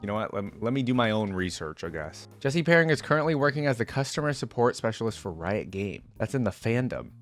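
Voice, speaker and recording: A man's voice: fairly low pitch, sing-song, brisk, hardly any pauses, soft background music. A man giving casual gaming reaction commentary, reading aloud and commenting offhandedly as host.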